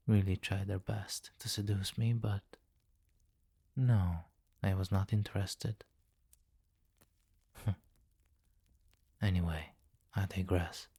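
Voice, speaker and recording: A man's voice: sits low, a little mellow, no echo, exaggerated voice. A man playing a commanding, possessive vampire king in an intimate, teasing ASMR roleplay. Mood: amused, smug. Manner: slow, teasing, condescending, with murmured endearments.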